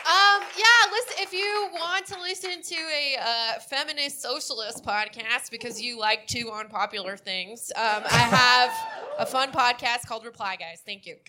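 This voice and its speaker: A woman's voice: a little high, moderate pace, over a noisy crowd, heavy background noise. A woman guest comedian on a live podcast, rambling with a giggly delivery.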